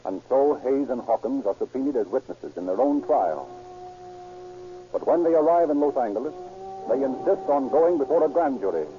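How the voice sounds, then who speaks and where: A man's voice: medium pitch, expressive, moderate pace, pauses often. A man delivering scripted, dramatic narration in the style of a 1940s radio crime show. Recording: muffled vintage radio recording, loud music. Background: music.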